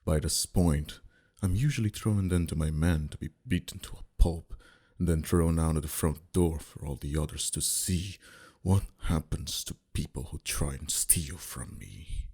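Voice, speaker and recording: A man playing a dominant, flirty mafia boss in an intimate ASMR roleplay, talking in a deliberate, quietly threatening and teasing manner. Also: very deep voice, breathy edge, heavily acted. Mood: menacing, controlled. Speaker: voice actor.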